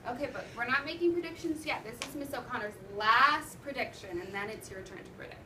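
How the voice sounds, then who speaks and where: A woman teacher reads interactively to children in a fairly high voice, often wondering aloud to prompt them. She is recorded from a distance in an echoey classroom. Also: a little fast, sharp tone. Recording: roomy, noisy classroom from far off.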